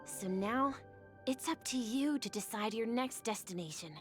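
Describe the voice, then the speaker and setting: A woman voicing a gentle, tired young boy at his journey's end, soft and resigned, in a dramatic video game. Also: fairly high pitch, moderate pace, over music, natural voice. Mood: gentle, final.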